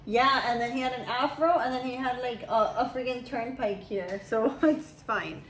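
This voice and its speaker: A woman's voice: a little high, normal speed, slight background noise, rough recording. A young woman, a mother, chatting casually and rambling as she explains her view. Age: young adult. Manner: chatty, rambling, laughs often. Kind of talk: casual chat.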